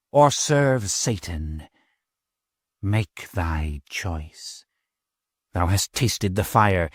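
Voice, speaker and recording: A man voicing a cold male cult leader who intones slowly in archaic speech. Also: fairly low pitch, a little mellow, dry recording, clean studio sound. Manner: slow, intoning, old-fashioned thee and thou.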